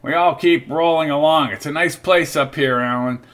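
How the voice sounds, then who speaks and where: A man's voice: fairly high pitch, normal speed, fairly bright tone, lightly acted. A man reading the part of an easygoing, gray-haired drugstore owner in a small town, talking in a folksy way.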